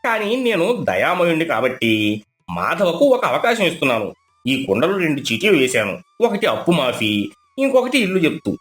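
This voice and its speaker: A man's voice: fairly high pitch, wildly swooping pitch, moderate pace, a bit bright, soft background music. A man voicing a scheming, falsely pious village moneylender in a pompous, theatrical way for a moral story. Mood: pompous, falsely kind.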